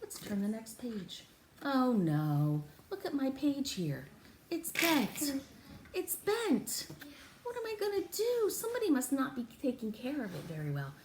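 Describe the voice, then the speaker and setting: A woman reads a picture book to young children in a highly animated, expressive teaching style. She stretches out key words and invites the listener to respond. Her mid-pitched voice rings in an echoey room with faint noise behind it. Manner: exaggerated, expressive teaching delivery with drawn-out words and gasps. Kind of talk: interactive read-aloud for young children.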